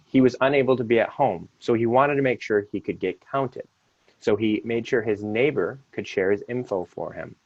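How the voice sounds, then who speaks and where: A man's voice: fairly low pitch, a little mellow, slightly rough, no room sound, lo-fi sound. A man leading a children's activity, giving instructions to kids and families in an upbeat, encouraging way.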